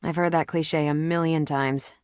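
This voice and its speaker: A woman's voice: low voice, flat delivery, moderate pace, clean tone, no room sound, clean studio sound, close to an everyday voice. A woman voicing a confident, wry young superhero soldier with an American accent, in casual, self-assured video game banter.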